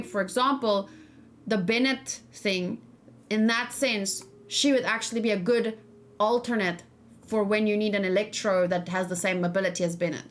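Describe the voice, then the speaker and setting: A woman's voice: mid-range voice, fairly bright tone, a little hiss or hum. A woman streaming casual game reaction and discussion, thinking aloud and giving her opinions to viewers. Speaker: gaming streamer.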